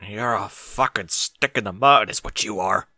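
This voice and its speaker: A man voicing a pushy, teasing female pony in a story, with drawn-out, commanding delivery and humming hesitations. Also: lowish voice, pushed and bright, no echo, studio quality, heavily acted. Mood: taunting. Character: brazen, pushy, lustful adult female pony.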